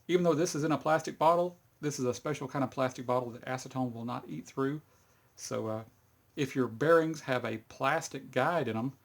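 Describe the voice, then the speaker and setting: A middle-aged man with a Texas accent casually walks through a fidget spinner cleaning demo in a rambling, folksy way. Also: medium pitch. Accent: Texan. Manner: rambling, folksy, chuckles often, many fillers. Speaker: YouTube hobbyist demonstrating fidget spinner cleaning. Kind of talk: casual how-to demonstration.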